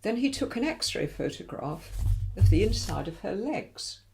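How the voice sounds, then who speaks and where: A woman's voice: mid-range voice, sing-song, unhurried, vocal fry, a little mellow, a little hiss or hum, low-quality recording. A woman reading a children's storybook aloud expressively, with dramatic emphasis for young listeners. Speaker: volunteer story reader.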